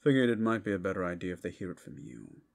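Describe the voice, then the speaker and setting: A man's voice: low voice, sing-song, quick pace, gravelly, heavily acted. A man voicing a blunt, matter-of-fact tiefling messenger in a fantasy ASMR roleplay. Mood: blunt, businesslike. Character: blunt tiefling messenger bringing unwelcome news.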